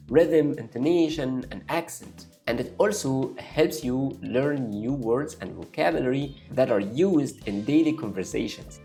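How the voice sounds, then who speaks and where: Young man, native arabic speaker, upbeat coaching tone, mellow voice, faint music behind. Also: mid-range voice. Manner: upbeat, coaching the viewer step by step. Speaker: English learning YouTuber. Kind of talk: direct-to-camera lesson.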